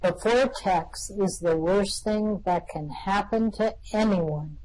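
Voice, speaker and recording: Wry male space traveller, woman in amateur reading, slow, dry asides. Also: mid-range voice, a little mellow, poor audio. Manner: brisk, wry. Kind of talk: amateur radio play reading.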